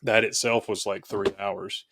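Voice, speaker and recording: A man from the United States speaking as a podcast guest, explaining things in a conversational, halting, reflective way. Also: on the low side, level tone, background noise. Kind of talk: conversational interview.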